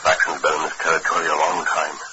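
Casting: upright masked cowboy lawman, very deep, grave and deliberate, muffled old radio Western sound. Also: flat delivery, very noisy. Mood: grave, resolute. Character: masked male Western lawman hero, earnest, upright, commanding.

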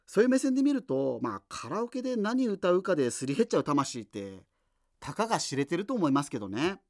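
An actor playing a chatty, self-deprecating radio host who muses casually through listener letters, with music behind him. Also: male voice, high voice, very animated, fairly quick, darkish tone, no echo, studio quality. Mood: wry reminiscing. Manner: rambling, colloquial, musing asides.

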